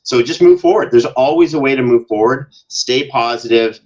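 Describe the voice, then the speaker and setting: A man speaking as a podcast guest in casual interview chat, telling anecdotes in an animated way and acting out exchanges. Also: mid-range voice, normal speed, lo-fi sound. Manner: animated storyteller, acts out quoted exchanges. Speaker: podcast guest, audio drama producer.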